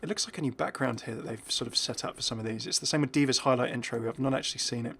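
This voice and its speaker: A man's voice: mid-range voice, fast, a bit bright, slightly rough. A man giving casual, enthusiastic game commentary in an informal, chuckly way. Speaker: video creator presenting game content.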